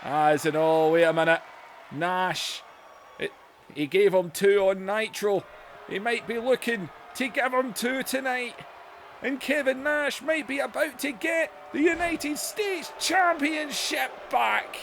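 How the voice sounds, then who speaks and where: Excitable high-voiced man commentating wrestling. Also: slow, slight background noise. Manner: excitable, shouts and laughs at big moves. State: voice going hoarse. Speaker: play-by-play commentator for a wrestling video game.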